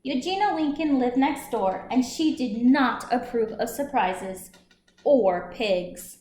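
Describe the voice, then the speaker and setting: A woman's voice: a little high, lively intonation, slow pace, a little mellow. A woman library storyteller reading a picture book aloud expressively to young listeners. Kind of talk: children's storybook read-aloud. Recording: roomy echo, some background noise.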